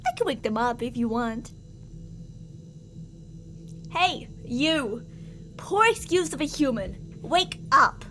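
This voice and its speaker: A woman voicing an obsessive, possessive young woman who holds her beloved captive, cooing sweetly with menace and snapping into shouts. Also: on the high side, sing-song, brisk, hardly any pauses, faint music behind. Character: obsessive possessive female yandere captor. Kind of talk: audio roleplay drama.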